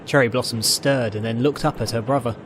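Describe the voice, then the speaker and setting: A man narrates a dramatic story in a medium-pitched voice. The delivery is scripted storytelling, with faint vehicle and outdoor ambience laid behind it. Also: fairly even intonation, brisk, noisy background. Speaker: narrator, fan fiction reader.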